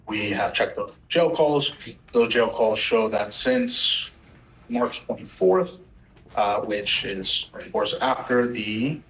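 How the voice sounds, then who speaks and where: A man speaking as a prosecutor, giving a halting, factual report in a courtroom. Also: mid-range voice, fairly bright tone, slight background noise, poor audio.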